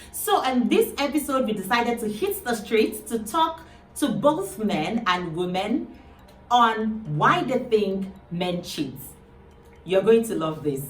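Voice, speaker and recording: A woman's voice: fairly high pitch, a bit bright. A woman with a Nigerian accent hosting a show and speaking straight to viewers. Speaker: show host. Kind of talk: presenter addressing viewers. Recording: roomy echo, distant from mic.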